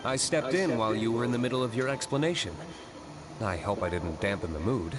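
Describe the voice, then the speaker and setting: A man's voice: mid-range voice, expressive, brisk, lightly acted. A man playing a composed, formal young aristocratic winery owner, with understated manners, in an anime-style video game. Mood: polite, composed. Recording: game music playing loudly behind.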